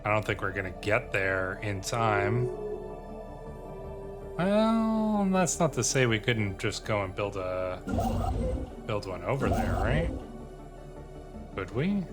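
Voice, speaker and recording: A man's voice: lowish voice, lively intonation, slow, pauses often. A man giving casual let's-play commentary as a gaming host, thinking aloud in rambling questions and reading out game text. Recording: music playing behind the voice. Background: game music.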